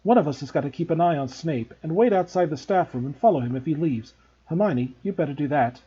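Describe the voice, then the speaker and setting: Man doing a determined schoolboy, urgent and earnest, lively pitch, dark husky voice. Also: brisk, lo-fi sound.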